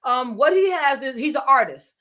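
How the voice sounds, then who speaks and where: High-voiced woman hosting a talk show. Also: moderate pace. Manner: animated, laughs often, repeats words. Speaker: show host and business mentor. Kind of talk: casual panel talk show.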